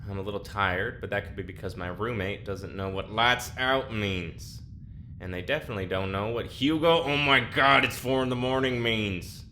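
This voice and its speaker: Weary, sardonic male spaceship crewman, lowish pitch, forceful edge, audio drama delivery. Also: steady tone, moderate pace, slight background noise. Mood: weary, wry. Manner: wry, weary complaining. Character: tired, sardonic young male diarist on a spaceship.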